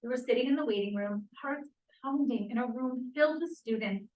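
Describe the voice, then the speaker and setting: A woman's voice: fairly high pitch, fairly quick, darkish tone, lightly acted. Echoey, anxious young college woman played by actress. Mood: embarrassed, dramatic. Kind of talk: stage play table read. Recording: echoey room, distant mic.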